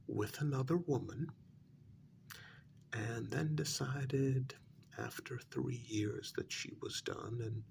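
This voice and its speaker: A man playing an evasive, deferential worker in a soft ASMR role-play, speaking hesitantly and politely in a breathy half-whisper. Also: medium pitch, slight background noise, heavily acted. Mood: gossipy, hesitant. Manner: hesitant, polite, gossipy while feigning reluctance. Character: evasive, deferential male worker smoothing things over with his boss.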